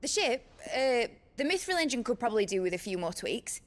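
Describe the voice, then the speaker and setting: A woman's voice: a little high, sing-song, a little fast. A woman playing a cheeky, eager young engineer in a fantasy game, chirpy and informal. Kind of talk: cinematic game dialogue.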